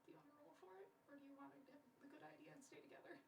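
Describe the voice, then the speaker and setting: A woman's voice: sits high, rapid-fire, creaky. A woman playing a tabletop game, chatting casually with the group during a live session. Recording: distant mic with background noise.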